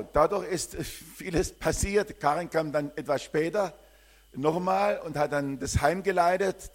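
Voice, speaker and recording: Older German missionary, rambling testimony. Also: male voice, a little high. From southern Germany. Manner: warm, rambling, with frequent hesitations. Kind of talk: church greeting and personal testimony.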